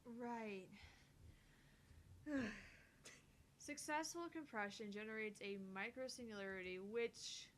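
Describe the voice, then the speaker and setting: A woman plays a young female scientist in a visual novel, with a fairly high voice. She is earnest and explanatory, stammers a little and is easily flustered. The recording carries some noise and rumble. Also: slow pace, long pauses, a bit bright. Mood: earnest explaining. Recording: faint noise with low rumble.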